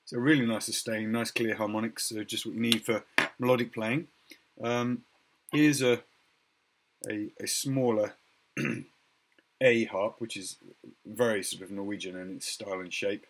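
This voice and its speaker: A middle-aged man with a croaky voice, casually showing instruments from his shop on a webcam video at home, pausing often with ums and uhs. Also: fairly low pitch, a little hiss or hum. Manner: relaxed, hesitant with many ums and uhs. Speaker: music shop owner showcasing instruments. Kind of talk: casual product demo video. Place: home music room indoors.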